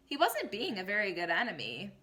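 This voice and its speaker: A woman reading a picture book aloud expressively, like a teacher, pausing to explain and question young listeners. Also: mid-range voice, huge pitch swings, sharp tone. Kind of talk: expressive children's read-aloud.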